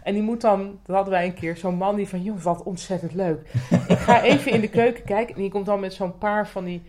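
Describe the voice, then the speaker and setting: A woman's voice: medium pitch, steady tone, fairly quick, darkish tone. A woman co-hosting a podcast, chatting casually in an inquisitive, conversational way. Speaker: podcast co-host. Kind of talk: casual chat.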